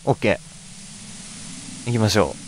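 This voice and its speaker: A man's voice: on the low side, animated, slow pace, slight background noise, poor audio. A man hosting a let's play, chatting casually to viewers and reading bits of on-screen text aloud as he goes.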